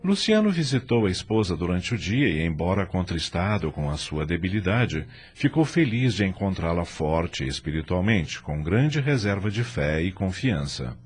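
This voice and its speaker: A man's voice: deep voice, sing-song, moderate pace, hardly any pauses. A man narrating an audio drama in a scripted, literary storytelling style.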